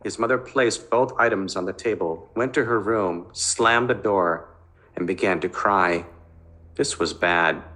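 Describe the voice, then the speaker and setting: A man reads fiction aloud as a dramatic narrator. His voice sits a little low but carries a bright, forceful edge. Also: unhurried.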